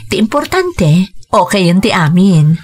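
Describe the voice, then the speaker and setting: Caring aunt, gentle manner, medium pitch, Filipino accent, radio drama. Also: female voice, sing-song, a little slow, slightly rough. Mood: gentle, sincere. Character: caring, gentle aunt Tanya looking after children. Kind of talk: Ilocano radio drama acting.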